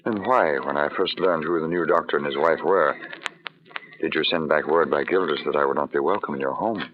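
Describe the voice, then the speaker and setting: An actor playing an ardent, brooding settler in an old radio drama, speaking in an earnest, formal, dramatic way. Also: male voice, very deep voice, brisk. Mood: ardent, intense. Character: ardent, brooding male settler in a colonial outpost. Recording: muffled, noisy old radio recording.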